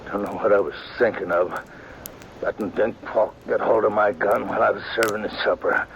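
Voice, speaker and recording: An actor playing a wounded, remorseful old sheriff who speaks weakly and haltingly in a 1950s radio drama. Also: male voice, fairly low pitch, fairly even intonation, gravelly, highs cut off, a little hiss or hum. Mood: pleading. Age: older. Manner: weak, halting, pleading.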